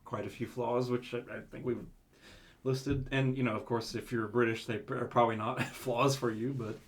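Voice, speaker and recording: A man's voice: medium pitch, fairly even intonation, normal speed. A man co-hosting an album review podcast, rambling casually with lots of fillers and frequent chuckles. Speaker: podcast co-host.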